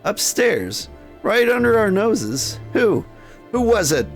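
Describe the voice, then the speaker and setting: A man voicing an excitable, shocked Victorian woman in a game story, with high exclamations. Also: a little fast, soft background music, natural voice.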